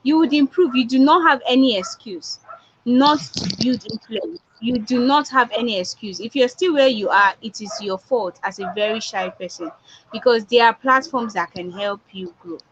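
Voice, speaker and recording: A woman's voice: a little high, moderate pace, a little hiss or hum. Nigerian woman giving an emphatic pep talk. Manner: emphatic, repeats points for effect. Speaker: public speaking coach. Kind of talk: motivational online talk. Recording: dull lo-fi online audio.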